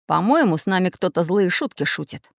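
A woman's voice: on the low side, very animated, brisk, vocal fry, no room sound, studio quality. A woman voicing a brave, indignant little male iron handyman in a children's story. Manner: indignant, emphatic.

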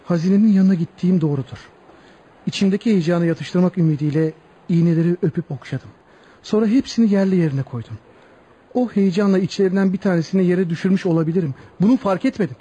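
A man's voice: sits high, fast talker, mellow, breathy edge, muffled recording. An actor playing a young accused tribesman, sincere, sorrowful and pleading, in a Turkish radio play. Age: young adult. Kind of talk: Turkish radio theatre acting.

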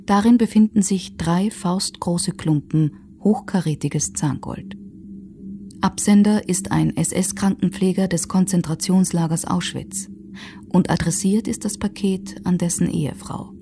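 A woman's voice: fairly low pitch, fairly even intonation, a little slow, mellow, a little hiss or hum. A woman narrating a scripted documentary in a factual, composed way.

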